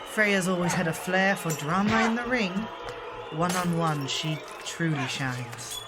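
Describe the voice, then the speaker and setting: A man's voice: sits high, over a noisy crowd. A voice actor, a man, playing a solemn, loyal exiled shapeshifter who speaks formally and earnestly in an audio drama. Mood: eager. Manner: formal, measured, earnest speech. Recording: clear background noise and ambience.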